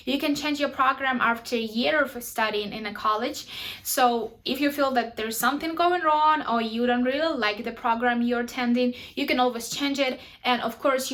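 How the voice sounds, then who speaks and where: A young woman from Ukraine speaks in a chatty, direct way, her Ukrainian accent clear. Her voice sits a little high. Small grammar slips show up now and then as she explains things to camera. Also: normal speed, a bit bright. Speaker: vlogger.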